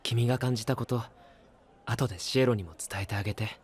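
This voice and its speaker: An actor playing a calm, polite young guide in an anime voice drama, explaining things in a measured, earnest way. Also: male voice, medium pitch, a faint crowd, close to an everyday voice. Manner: measured, explanatory, like reading a manual. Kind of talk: Japanese anime voice drama acting.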